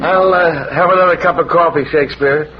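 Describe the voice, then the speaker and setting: An actor playing a gruff, plain-spoken police sergeant in a 1940s radio mystery, blunt and slangy. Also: male voice, a little high, faint music behind, close to an everyday voice. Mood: musing. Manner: blunt, slangy, drawn-out musing. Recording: muffled, noisy vintage radio recording.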